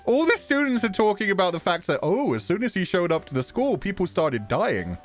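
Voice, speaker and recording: A male game streamer with a very animated, swooping delivery. He reads dialogue aloud theatrically and comments in a playful, joking way, with game music faintly behind him. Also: high-pitched, brisk.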